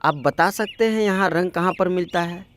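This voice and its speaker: A man's voice: high-pitched, normal speed, natural voice. Earnest young suitor played by a man, music behind. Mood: polite, curious. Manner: polite, earnest. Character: earnest young orphan man, painter, shyly courting. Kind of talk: Hindi moral-story cartoon voice acting.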